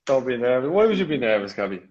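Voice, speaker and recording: A man's voice: medium pitch, very animated, fast, lo-fi sound, background noise. A man with an Irish accent hosting a casual live online chat, talking in a chatty, rambling way with trailing repeats. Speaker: host.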